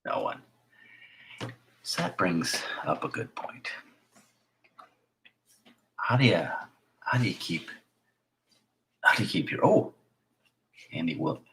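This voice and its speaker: A man with a raspy voice hosting a casual live stream as a filmmaker, rambling in a chatty way and sometimes dropping to a whisper. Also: deep voice, lively intonation, slow pace, long pauses, a little hiss or hum, rough recording. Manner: hushed at times, drifting into whispers; chatty, with fillers and restarts.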